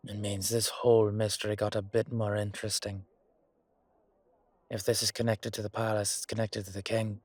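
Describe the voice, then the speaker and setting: A low, close and dry male voice from an actor as a fantasy knight with an Irish accent. He is gruff, fiercely protective and hot-headed, with a wry, loyal way of talking, made for a roleplay audio drama. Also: level tone, normal speed, dry recording, lightly acted. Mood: grim, wary. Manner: gruff, swearing, colloquial.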